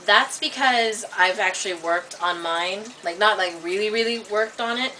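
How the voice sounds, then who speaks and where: Bright, chatty woman on a distant mic. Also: normal speed. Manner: chatty, filler-heavy, many "like" and "um" restarts; animated, rises high when indignant. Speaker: vlogger. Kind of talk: casual rambling monologue to camera. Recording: distant webcam mic with faint hiss.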